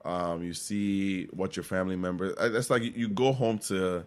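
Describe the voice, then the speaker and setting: A man's voice: low voice, fairly even intonation, normal speed, darkish tone, smooth. A man from Nigeria co-hosting a podcast, joking and teasing in casual chat, often repeating words as he starts a thought. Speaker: podcast co-host.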